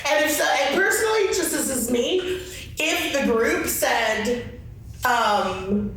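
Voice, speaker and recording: A woman speaking off the cuff in a panel discussion, phrasing her thoughts haltingly and reflectively. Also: high-pitched, animated, fairly bright tone. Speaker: panelist at a fellowship convention. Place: convention meeting room. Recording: echoey room from far off, faint noise.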